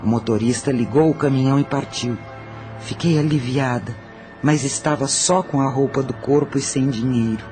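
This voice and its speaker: A man's voice: mid-range voice, moderate pace, a little mellow, soft background music. A man with a Brazilian accent narrating a scripted first-person audio story.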